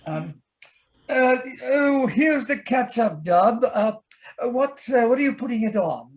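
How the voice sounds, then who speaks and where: A man playing a fussy, nervous gentleman sidekick in an old-time radio mystery, stammering and theatrical, with a husky voice. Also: extremely high pitch, expressive, takes it slowly, full character voice. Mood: hesitant.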